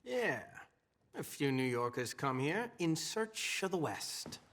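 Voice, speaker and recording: Slow, lilting genteel hotel clerk. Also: mid-range voice, big pitch swings, a bit bright, slight background noise, exaggerated voice. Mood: intrigued, gushing. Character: polite, starry-eyed Old West hotel clerk. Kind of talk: cinematic western game acting.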